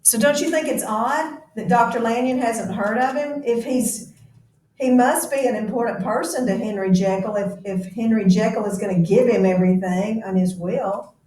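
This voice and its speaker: A woman reading a story aloud like a teacher, pausing to explain it and check that listeners follow. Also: on the high side, darkish tone. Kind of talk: read-aloud of a classic novel with explanatory commentary. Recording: echoey room, distant mic, faint noise.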